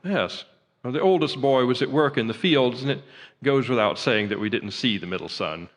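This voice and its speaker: An actor playing a fussy, pompous astronomy professor in a radio drama, speaking formally and hesitantly. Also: male voice, mid-range voice, lively intonation, moderate pace, natural voice. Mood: uneasy, tactful. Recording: reverberant, echoey hall sound.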